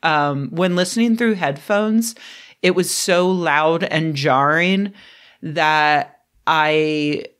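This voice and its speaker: Throaty woman rambling casually, slow pace. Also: low voice. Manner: rambling, self-deprecating, with frequent fillers and laughs. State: throaty from a cold. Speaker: book vlogger. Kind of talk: unscripted casual vlog.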